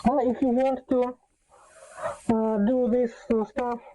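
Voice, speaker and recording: A woman talking casually and unscripted as a video host, explaining things in a halting way with frequent filled pauses. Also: fairly high pitch, level tone, warm tone, rough recording.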